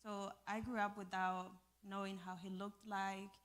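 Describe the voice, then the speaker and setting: A young woman speaks to a gathered group in a mid-range voice, sharing a personal testimony. Her delivery is informal and earnest, with a halting flow as she finds her words. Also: medium pitch, level tone, fairly bright tone. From El Salvador. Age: young adult. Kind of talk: personal testimony to a live audience.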